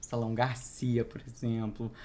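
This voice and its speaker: A man in a casual book discussion, speaking in a measured way and repeating words as he builds his point. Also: mid-range voice, monotone, mellow. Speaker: co-reviewer.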